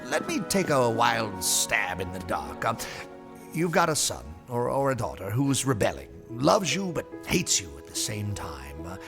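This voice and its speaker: Eccentric bookseller, audio drama, gravelly edges, theatrical, playfully erudite, very swooping pitch. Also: male voice, wildly swooping pitch, a little slow, over music, full character voice. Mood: knowing, earnest. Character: whimsical, eccentric bookshop owner and gentle sage.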